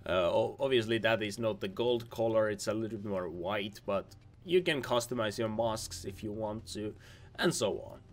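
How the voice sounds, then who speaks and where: A young man, a little hoarse from losing his voice, giving casual rambling commentary over game footage, repeating himself and restarting words. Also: fairly low pitch, normal speed. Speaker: gaming video host.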